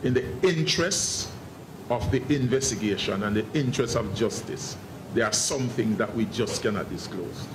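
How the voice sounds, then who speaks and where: A man speaking as a senior police investigator at a press briefing, guarded and formal, often halting and repeating words. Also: lowish voice, echoey room. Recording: noisy online press briefing audio.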